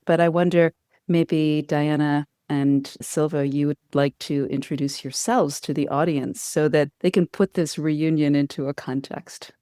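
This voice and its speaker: Smooth-voiced woman co-host, dry sound. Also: deep voice, dark tone, no room sound. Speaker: podcast co-host. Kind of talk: conversational interview hosting.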